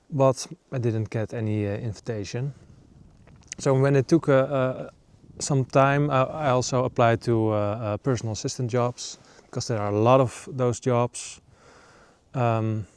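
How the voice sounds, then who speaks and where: A man in his thirties from the Netherlands, a native Dutch speaker, talking casually to camera in a halting way with many fillers. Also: mid-range voice, a little mellow, clean tone. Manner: halting, many ums and uhs. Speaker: nature vlogger. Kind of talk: casual vlog talk to camera. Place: outdoors in northern Sweden.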